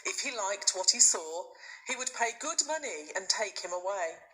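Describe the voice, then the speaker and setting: A woman reading a children's story aloud as a teacher would, in expressive scripted narration. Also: medium pitch, normal speed, rough recording.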